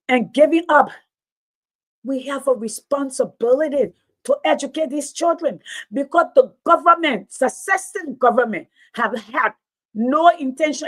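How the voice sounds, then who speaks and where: A woman with a Liberian accent, a teacher and former radio host, giving an emphatic, passionate talk that often repeats words for stress. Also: sits high. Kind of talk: impassioned public talk.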